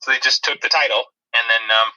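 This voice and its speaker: A man's voice: mid-range voice, steady tone, fast talker, sharp tone, muffled recording. A man co-hosting a podcast, casually explaining things in a rambling, dryly humorous way over a thin, radio-like connection. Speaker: podcast co-host. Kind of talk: casual chat about video-game movies.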